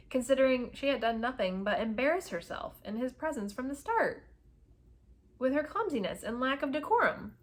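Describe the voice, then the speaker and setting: Woman reading a romance novel aloud, expressively. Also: a little high. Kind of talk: expressive storybook read-aloud.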